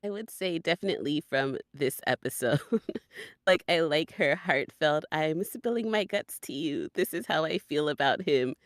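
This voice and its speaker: A woman's voice: low-pitched, animated, moderate pace, no echo, clean studio sound. A woman in a casual chat as a voice actor guest, giving wry answers.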